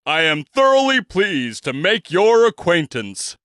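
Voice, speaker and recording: A man's voice: high voice, very animated, unhurried, a bit bright, no room sound, clean studio sound, pitched above the performer's own voice, exaggerated voice. A man voicing a pompous, grandiloquent older male shop proprietor who speaks in flowery, old-fashioned phrases. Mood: grandly formal.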